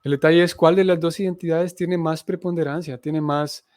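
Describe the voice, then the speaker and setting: A man's voice: a little high, steady tone, moderate pace, darkish tone, dry recording, studio quality. A man giving a spiritual lecture, reading scripture and explaining it in a reflective way. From Honduras. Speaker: Hare Krishna missionary teacher.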